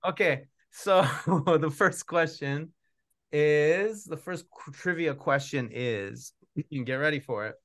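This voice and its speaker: A man's voice: fairly high pitch, slow, fairly bright tone. A middle-aged man, hosting an online event with casual, joking chat. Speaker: children's author and puppeteer hosting his book launch. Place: room at home, on a video call.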